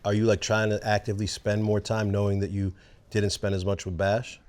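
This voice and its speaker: A man in his forties hosts a loose podcast chat and swears freely. His voice sits a little low, and he talks to his guest like a friend. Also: fairly quick. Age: 40s. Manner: animated, swears freely, calls people bro. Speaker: host. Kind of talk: casual chat.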